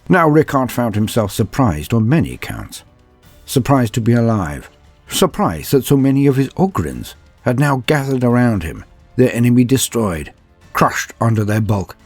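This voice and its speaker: A man reading a scripted fantasy war story in a grave, theatrical, deliberate way. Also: on the low side, darkish tone, husky.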